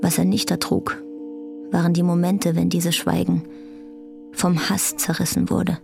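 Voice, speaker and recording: A woman's voice: lowish voice, flat delivery, a little slow, vocal fry. A woman reading scripted narration for a radio drama. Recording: guitar music behind the voice. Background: guitar music.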